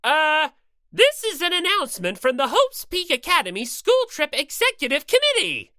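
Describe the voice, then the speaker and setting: A woman's voice: high-pitched, very animated, moderate pace, sharp tone, no room sound, clean studio sound, exaggerated voice. A woman voicing a gleefully cruel, bossy male robot bear mascot, taunting and theatrical, for an audiobook. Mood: mock-cheerful announcing. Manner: theatrical, taunting, mock-polite then bellowing. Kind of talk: audiobook character voice.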